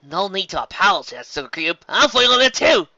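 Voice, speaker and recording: A man's voice: high voice, sing-song, brisk, pushed and bright, slight background noise, pitched above the performer's own voice, heavily acted. A man voicing a plain-spoken, grumbling female country farm pony in a storybook reading, in dull audio. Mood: reassuring.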